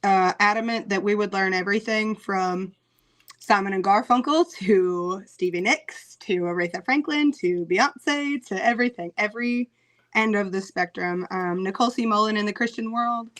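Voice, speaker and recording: A woman singer talking casually in an interview, chatty, laughing often, with many "um" pauses. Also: mid-range voice, a bit bright.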